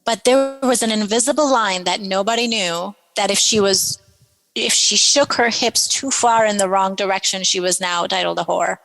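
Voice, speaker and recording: Animated woman from Iran, reverberant. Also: medium pitch, normal speed, gravelly. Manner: animated, gasping, repeats words. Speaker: podcast guest. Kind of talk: storytelling interview.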